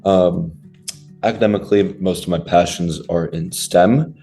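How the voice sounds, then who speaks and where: A man's voice: deep voice, moderate pace, gravelly, dark tone, faint music behind. A young man from Denver who grew up speaking Russian at home, a college student introducing himself earnestly in a webinar. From Denver, Colorado, USA. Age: young adult.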